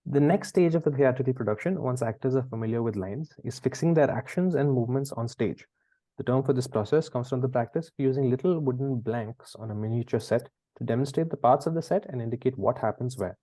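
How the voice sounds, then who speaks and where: A man's voice: medium pitch, quick pace, mellow, no room sound. A man hosting a quiz, reading questions and chatting conversationally with the players. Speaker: quiz host.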